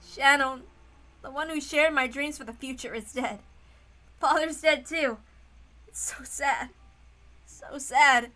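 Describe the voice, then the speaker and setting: Woman playing a gentle, grieving young man, high-voiced. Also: takes it slowly, pauses often, heavily acted. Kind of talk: dramatic visual novel read-aloud.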